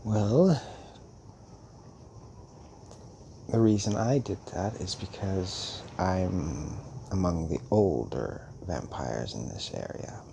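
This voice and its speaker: Guy doing an old vampire mentor for audio roleplay, low creaky voice, calm n fatherly, lots of thoughtful pauses, bit of noise behind him. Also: full character voice. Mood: measured, earnest. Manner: hesitant, musing, speaks in pauses. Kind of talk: intimate audio roleplay addressed to listener.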